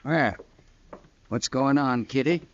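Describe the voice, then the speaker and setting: An actor playing an agreeable, easily tempted saloon owner in a 1950s radio western. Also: male voice, mid-range voice, takes it slowly, lightly acted. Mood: agreeable, surprised. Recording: dull, dry old radio recording.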